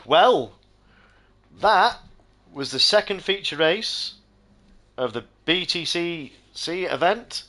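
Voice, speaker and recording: A middle-aged man hosting and commentating a sim racing stream, hoarse and close to losing his voice, switching between excited play-by-play and rambling chat with viewers. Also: medium pitch. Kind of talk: live-stream race commentary.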